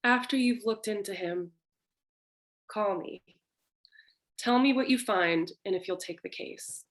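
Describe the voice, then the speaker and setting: Femme fatale, played by a woman: young, coquettish client in distress, slow delivery, medium pitch, noir stage play. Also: lightly acted. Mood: coaxing.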